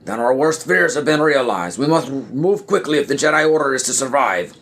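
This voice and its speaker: A stern senior Jedi master in a parody audio play, put on by a man. He speaks in a lifted pitch with grave, measured authority and a wary edge. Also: expressive, a bit bright.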